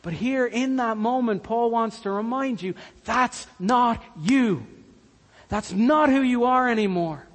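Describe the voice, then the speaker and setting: A man's voice: very high voice, a little slow, big-room sound. A man from Cork with a Cork Irish accent, preaching a sermon earnestly and repeating key lines for emphasis. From Ireland, Cork. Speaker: pastor.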